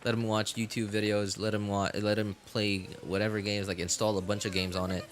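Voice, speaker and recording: A man's voice: on the low side, level tone, a little fast, a little hiss or hum. A man chatting casually as a game streamer, rambling and thinking aloud to his viewers. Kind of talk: casual rambling livestream chat.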